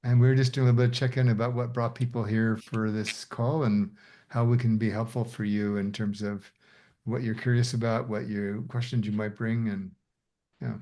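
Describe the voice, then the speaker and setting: A man's voice: lowish voice, flat delivery, moderate pace, mellow. Friendly man hosting a video call. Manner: friendly, brief affirmations. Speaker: host of the Q&A call. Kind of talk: welcoming check-in on a video call.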